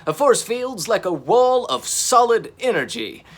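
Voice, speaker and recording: A man plays a heroic young dinosaur captain in a children's storybook. He is earnest and excitable, with emphatic, dramatic delivery that swings widely in pitch. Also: unhurried, full character voice. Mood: relieved, excited. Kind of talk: children's storybook character reading.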